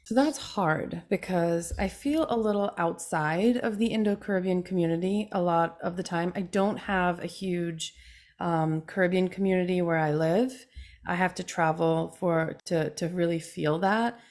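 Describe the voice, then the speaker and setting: A young woman from Trinidad reads from her own memoir in a lower voice, measured and earnest. It is a video call recording, and she goes on to answer panel questions in the same steady manner. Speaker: author reading and answering.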